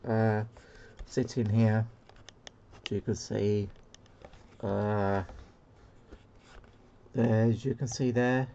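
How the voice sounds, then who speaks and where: A man's voice: medium pitch, mellow, a little hiss or hum. A man talks casually and without a script, as a hobbyist showing gear. He hesitates and rambles as he goes. The recording is slightly dull and lo-fi. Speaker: CB radio hobbyist presenting a demo. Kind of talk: casual unscripted product demo. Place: indoor radio room.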